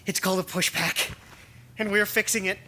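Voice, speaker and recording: An actress playing a driven, stubborn, eager sibling in an audio drama, wry and insistent. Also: female voice, mid-range voice, steady tone, moderate pace, heavy background noise, natural voice. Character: driven, stubborn, eager sibling chasing a late father's research.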